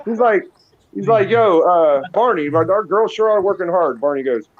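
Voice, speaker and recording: A man's voice: high voice, animated, moderate pace, phone-quality sound. A man chatting casually and slangily in a group call, full of bro and dude, with faint noise behind him.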